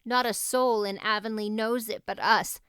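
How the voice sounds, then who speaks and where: A woman voicing an excitable, talkative young girl with a gushing, dramatic, flowery manner in an audiobook. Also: medium pitch, normal speed, clear, resonant tone, no echo, studio quality, natural voice. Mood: blissful, dramatic. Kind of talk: audiobook character voice.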